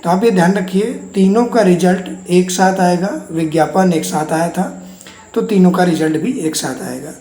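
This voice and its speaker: A host explains updates to exam candidates in a soft, dark-toned voice. The delivery is reassuring and circles back over the same points. The room adds a clear echo. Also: medium pitch, normal speed. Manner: repetitive, reassuring. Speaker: host of an exam news channel. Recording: echoey untreated room.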